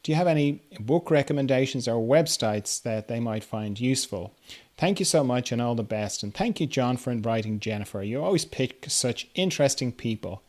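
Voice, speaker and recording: A man with a medium-pitched voice hosts an informal question-and-answer show. He speaks in a chatty, conversational way with some hesitation. His delivery is that of someone casually talking with a guest. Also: lively intonation, fairly quick, darkish tone. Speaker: podcast host. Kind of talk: casual interview chat, reading listener questions.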